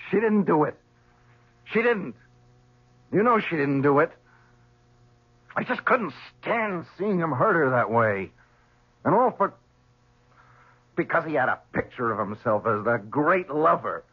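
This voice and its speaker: A man's voice: fairly high pitch, very animated, unhurried, long pauses, breathy edge, muffled recording, full character voice. An actor playing a distraught, defensive young man devoted to his mother, stammering under pressure in a 1970s radio drama. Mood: anguished.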